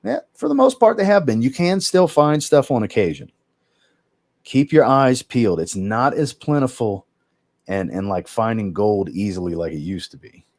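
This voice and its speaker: A man hosting a casual livestream podcast chat over a video call, relaxed and reading out viewer comments as he goes. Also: medium pitch, normal speed, no echo. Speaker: podcast host.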